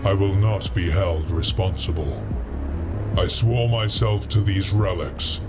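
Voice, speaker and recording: Prominent music surrounds a proud alien warrior-commander. His voice is very low and he speaks in a solemn, imperious manner, looking down on humans with menace, as in a science fiction audio drama. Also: slow. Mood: stern warning. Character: proud, menacing alien shipmaster guarding holy relics. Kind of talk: sci-fi audio drama acting.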